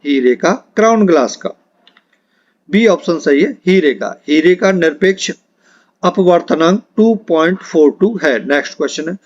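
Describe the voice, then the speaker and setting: A man teaching exam preparation, speaking instructively and directly to his students as he takes them through a lesson. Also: high voice. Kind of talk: reading multiple-choice quiz questions and answers aloud as a lesson. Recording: dull, muffled lo-fi audio.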